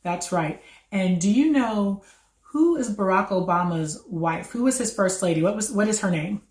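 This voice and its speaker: A woman's voice: medium pitch, animated, moderate pace, darkish tone. A woman hosting a children's activity, quizzing a child and encouragingly confirming each answer. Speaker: host of a children's read-aloud. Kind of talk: educational chat, quizzing a child. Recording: echoey room.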